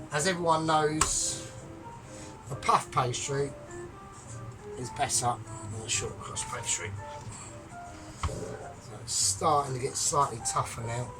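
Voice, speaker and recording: A man's voice: fairly high pitch, rough recording. A man chatting his way through a cooking tutorial, explaining each step with joking asides. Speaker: home cook. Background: music.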